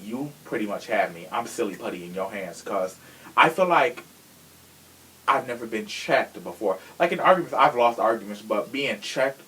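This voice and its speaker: A man's voice: fairly low pitch, expressive, moderate pace, bright, forceful tone, rough recording. A man hosting a podcast in a casual chat, with a sassy, slangy and opinionated way of talking. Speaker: podcast host.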